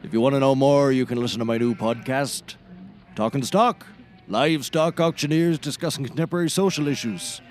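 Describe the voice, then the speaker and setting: A man's voice: mid-range voice, fairly quick, darkish tone, soft background music, lightly acted. An actor playing a folksy, rambling, sardonic livestock auctioneer in a scripted audio comedy, wordy and droll in his delivery. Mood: amiable.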